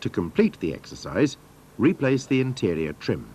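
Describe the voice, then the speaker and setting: A man's voice: on the low side, sing-song, moderate pace, dark tone, a little hiss or hum. A man narrating scripted instructions for a training film in a formal, methodical manner.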